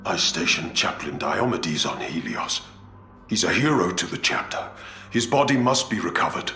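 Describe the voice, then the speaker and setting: A man's voice: sits low, sing-song, moderate pace, bright, forceful tone, heavily acted. An actor playing a dutiful, resolute male commander of space warriors in a video game, speaking solemnly and formally. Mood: insistent. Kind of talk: video game cutscene acting. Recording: echoey, faint music behind.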